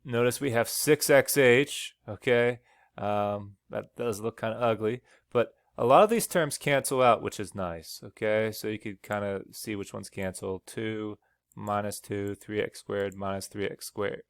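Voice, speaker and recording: A man's voice: fairly low pitch, a little slow, smooth, no room sound, studio quality. A man teaching math over lecture slides, walking through each step and checking in with "okay?" as he goes, his voice a little worn. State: slightly losing his voice.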